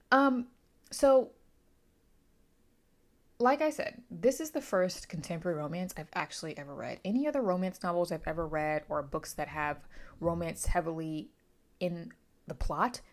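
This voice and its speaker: A woman's voice: fairly low pitch, lively intonation, unhurried, pauses often. A woman talking casually through a book review, opinionated and emphatic, often wandering into asides.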